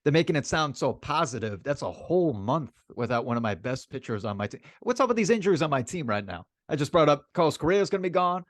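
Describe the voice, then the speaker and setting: A man co-hosting a sports podcast in casual banter, animated and repeating phrases for emphasis. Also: fairly high pitch, fast, few pauses, no echo, studio quality.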